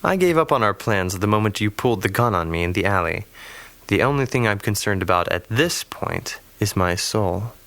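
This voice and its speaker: A man's voice: lowish voice, expressive, moderate pace, fairly bright tone, no echo. An actor playing a devout, defiant young male captive in an audio drama, speaking with dry control.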